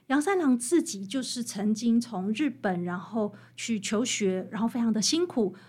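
High-voiced, slightly husky Taiwanese woman. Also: expressive, moderate pace, a little mellow. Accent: Taiwanese Mandarin. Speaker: interview guest, author. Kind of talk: conversational explanation.

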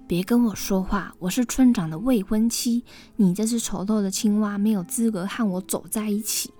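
A woman voicing a proud, spoiled young woman who talks in a haughty, sharp and dismissive way in a storybook tale. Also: high voice, normal speed, voice pushed up, close to an everyday voice. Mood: scornful.

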